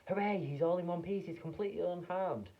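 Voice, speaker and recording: A man reading aloud and voicing an eccentric, excitable male chocolate maker with a theatrical, fussy manner. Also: mid-range voice, fast talker, husky, muffled recording. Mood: excited.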